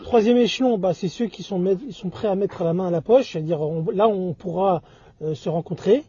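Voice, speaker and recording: A man in casual discussion, talking haltingly and often repeating and rephrasing himself. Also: sits high, noisy background. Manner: halting, repeats and reformulates phrases. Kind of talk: casual two-person discussion. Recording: dull lo-fi audio.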